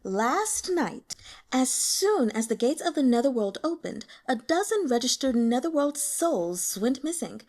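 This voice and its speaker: A flirtatious, sibilant woman from another world, put on by a female audiobook narrator. Her voice sits high and swoops freely, with final syllables dragged into a hiss. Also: sing-song, a little slow, a little mellow, full character voice. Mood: flirtatious, exasperated. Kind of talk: audiobook character acting.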